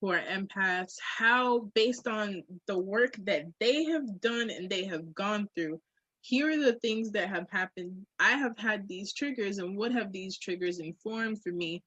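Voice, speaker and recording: A woman's voice: mid-range voice, unhurried, a bit bright, no echo, dull audio. A woman in a candid, casual podcast conversation, speaking reflectively and emphatically with slang. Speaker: podcast co-host.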